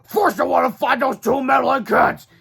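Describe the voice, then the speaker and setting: A man voicing a furious, menacing villainous toad creature in a storybook read-aloud, shouting indignantly. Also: extremely high pitch, normal speed, creaky, voice pushed up, full character voice. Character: furious villainous giant toad robot-rider, bellowing and ferocious.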